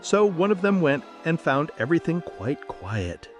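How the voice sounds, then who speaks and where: Expressive man narrating a bedtime fairy tale. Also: on the high side, moderate pace, soft background music. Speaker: storyteller.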